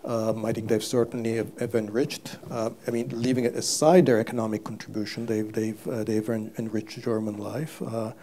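An older man from Turkey, a guest on a live stage interview, speaking haltingly with many fillers and restarts, recorded on a lapel mic. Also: lowish voice, flat delivery, mellow, a little hiss or hum. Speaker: guest economist. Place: stage before a live audience.

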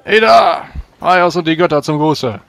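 A man's voice: fairly high pitch, lively intonation, unhurried, gravelly, a bit bright, no room sound, pitched above the performer's own voice, full character voice. A man playing a proud, weary knight with a formal, commanding manner. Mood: hailing.

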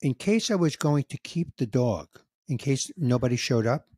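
Man in his 60s, podcast host, casual animated storytelling, dry close studio mic. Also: medium pitch, moderate pace, breathy edge, dry recording. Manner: animated storyteller, acts out dialogue, emotional. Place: home room with record shelves. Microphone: studio mic on boom, headphones, video call.

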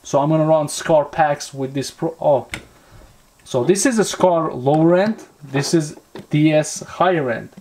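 Higher-voiced man reviewing casually. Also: a little mellow, a little hiss or hum. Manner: halting, repetitive, thinking aloud. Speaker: product reviewer and vlogger. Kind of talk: casual hands-on review talk. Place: indoors at a tabletop.

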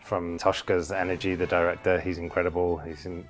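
A man's voice: sits low, little pitch movement, brisk, faint music behind. A man from the UK, an actor, talking casually in a press interview about his film. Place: barn interior.

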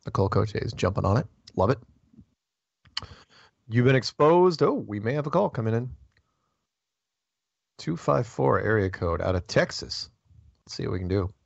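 A man's voice: lowish voice, lively intonation, normal speed, creaky, long pauses, no echo, muffled recording. A man hosting a call-in show, chatty and joking in casual talk. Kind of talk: casual call-in radio chat.

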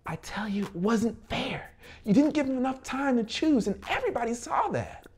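A man's voice: very high voice, lively intonation, normal speed, slight background noise, voice pushed up. A man voicing an indignant small-town wife who protests and complains insistently in an audiobook. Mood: bitter protest.

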